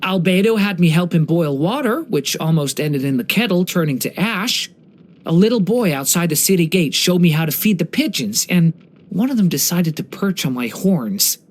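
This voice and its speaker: An actor voicing a gentle, earnest young dragon boy in a video game, speaking with soft-hearted wonder and care. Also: medium pitch, animated, moderate pace, natural voice. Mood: reflective, content. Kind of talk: scripted game character voice lines.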